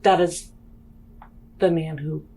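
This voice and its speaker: A woman roleplaying a grave, haunted mother among spacers in a tabletop game, speaking in a halting, solemn way. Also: deep voice, steady tone, dark tone, breathy edge, full character voice. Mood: grave, fearful.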